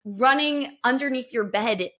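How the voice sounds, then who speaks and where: A woman's voice: a little high, a little slow, bright, forceful tone. A woman speaking as a guest dog trainer on a podcast, explaining things in an earnest, patient way. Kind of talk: podcast conversation.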